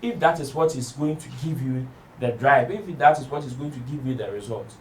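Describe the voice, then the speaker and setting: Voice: medium pitch, a little fast, far from the mic. A speaker in a recorded interview, arguing a point and mixing English with pidgin. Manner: mixes English with pidgin, insistent. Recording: echoey room, away from the mic.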